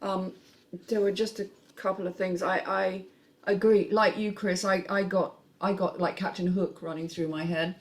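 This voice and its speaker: A woman's voice: medium pitch, flat delivery, moderate pace. Frank woman hosting a panel. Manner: frank, casually sweary. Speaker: host of a script reading panel. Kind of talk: casual panel discussion.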